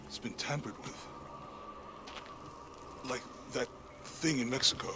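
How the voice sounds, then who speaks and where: A man's voice: medium pitch, takes it slowly, lightly acted. A man playing a loyal, protective adventuring companion in a naturalistic video game cutscene. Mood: matter-of-fact.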